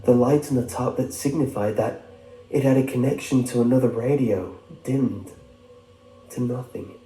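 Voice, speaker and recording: A man's voice: mid-range voice, normal speed, mellow, slight background noise. A man reading a scripted first-person horror story as its narrator. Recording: echoey, clear music behind.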